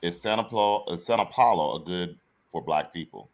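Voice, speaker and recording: Deep-voiced man teasing on a livestream. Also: lively intonation, normal speed. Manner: laid-back, teasing. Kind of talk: casual livestream banter.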